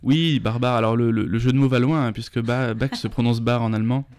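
A man's voice: mid-range voice, fairly even intonation, brisk, a little mellow, smooth, dry recording. A man, a festival organizer talking through a radio interview, full of fillers and restarts. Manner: hesitant, many fillers and restarts.

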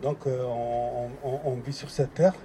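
A man's voice: medium pitch, steady tone, unhurried, a crowd in the background. A French-born man speaking up in a public discussion, hesitant, full of restarts and fillers. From France. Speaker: participant in a public debate.

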